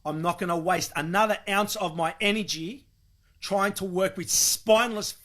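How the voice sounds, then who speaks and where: A man's voice: sits high, normal speed, a bit bright. An Australian man delivering an angry, emphatic political rant with heavy swearing. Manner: vehement, emphatic, profane.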